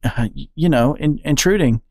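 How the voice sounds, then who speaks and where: A woman's voice: low voice, lively intonation, moderate pace, warm tone, dry recording, voice pushed up. A woman voicing a shy, nervous young female bat who stammers and apologizes. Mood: timid.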